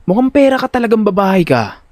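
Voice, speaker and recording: Exasperated older brother played by a man: higher-pitched, very animated, comically indignant, audio drama. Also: a little fast, no echo, clean studio sound. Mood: worried, bickering.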